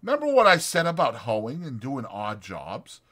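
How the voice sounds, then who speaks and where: A man's voice: mid-range voice, wildly swooping pitch, normal speed, sharp tone, pitched above the performer's own voice, exaggerated voice. A man voicing a withdrawn, bitter stable hand, subdued and guarded, in a dramatized audiobook. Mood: resigned. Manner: subdued, dull, guarded, rural dialect.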